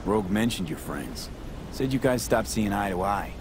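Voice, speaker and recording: V, a low-voiced, terse mercenary. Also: male voice, animated, moderate pace. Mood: probing. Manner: terse, clipped, matter-of-fact. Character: cool, pragmatic street mercenary man. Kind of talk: naturalistic video game acting. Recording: vehicle and ambience noise behind.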